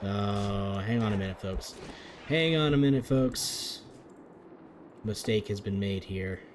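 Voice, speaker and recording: A man giving chatty, upbeat live commentary on a game as league commentator, his voice getting a little raspy. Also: on the low side, expressive, slow pace, noisy background. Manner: chatty, upbeat play-by-play with frequent restarts.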